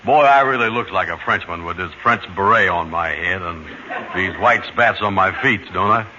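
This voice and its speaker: Old radio comedy: male actor as a naive, slow-talking sidekick, lowish voice, heavy hiss. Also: close to an everyday voice. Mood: easygoing. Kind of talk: 1940s radio comedy acting. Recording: dull old radio broadcast with loud hiss.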